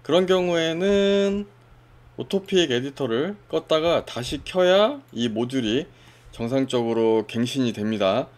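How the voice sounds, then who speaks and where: A man talks through a software demo in a relaxed, informal way, narrating each click as he goes. His voice sits in a middle register but swings up and down a lot. He keeps things conversational, with small fillers along the way. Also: mid-range voice, measured pace. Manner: casual step-by-step explaining with fillers. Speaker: software tutorial presenter. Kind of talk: screen-recorded software walkthrough.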